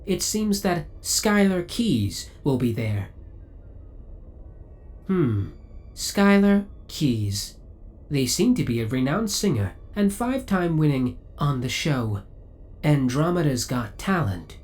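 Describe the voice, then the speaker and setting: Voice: mid-range voice, very animated, slow, a little mellow. An actor voicing a possessive, devoted spaceship AI in an audio roleplay, speaking in a formal, precise, clinical and politely menacing way. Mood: politely curious. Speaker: voice actor playing a ship's AI. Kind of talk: scripted ASMR audio roleplay acting.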